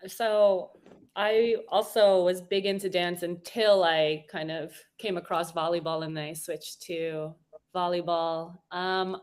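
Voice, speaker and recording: A woman's voice: lowish voice, fairly even intonation, slow pace, clear, resonant tone. A woman in her forties chatting as a guest, talkative and often laughing, with frequent fillers and restarts. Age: 40s. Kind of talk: casual chat.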